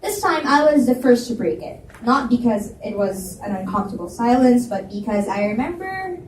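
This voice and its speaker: Casting: woman narrator, bright higher voice, lively expressive storytelling, heard from across a roomy space. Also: normal speed. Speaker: reader and narrator. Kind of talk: live reading of a novel. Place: library event space. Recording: roomy, distant mic with faint hiss.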